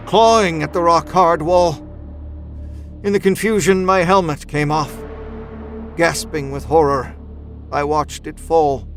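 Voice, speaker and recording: An actor playing a desperate ice climber, narrating his ordeal as a suspenseful, literary horror audiobook. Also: on the high side. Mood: panicked, horrified. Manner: intense, suspenseful, literary storytelling. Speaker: story narrator. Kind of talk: dramatic first-person horror audiobook narration. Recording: music bed under the voice. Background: atmospheric musical score.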